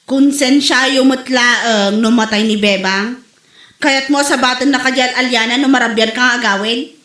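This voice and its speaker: A woman's voice: on the high side, steady tone, moderate pace, a bit bright, lightly acted. A woman playing a scolding, preachy character in a comedy drama, with an Ilocano accent. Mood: accusing. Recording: echoey room.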